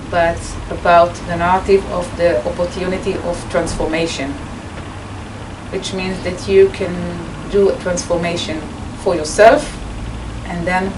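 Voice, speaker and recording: Older woman, low voice, noisy recording. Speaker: retired educator and peace activist. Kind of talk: personal introduction in an interview. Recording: distant mic, clear background noise.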